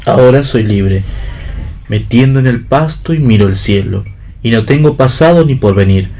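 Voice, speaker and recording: A man's voice: medium pitch, animated, moderate pace, a little mellow, a little hiss or hum, lightly acted. A man playing a hungry, wry, free-spirited vagabond in a radio play reading. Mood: wry, plain.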